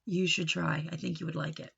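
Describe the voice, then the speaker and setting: A woman reading a picture book aloud to children, expressive, with questioning and explanatory asides. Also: low voice, fairly quick, gravelly, slightly rough, muffled recording. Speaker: storybook reader teaching children.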